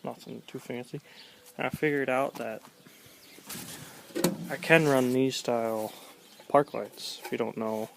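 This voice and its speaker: A man giving a casual, offhand walkaround in colloquial speech, low-key and gravelly. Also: fairly even intonation, slow, long pauses. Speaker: vlogger showing his pickup trucks. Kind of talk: casual chat, walkaround show-and-tell. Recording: noisy outdoor handheld camera recording.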